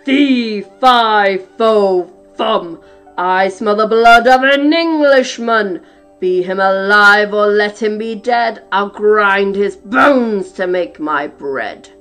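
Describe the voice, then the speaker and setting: Woman doing a loud booming giant. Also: animated, soft background music. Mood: menacing. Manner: booming, slow, menacing chant. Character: huge roaring man-eating male giant.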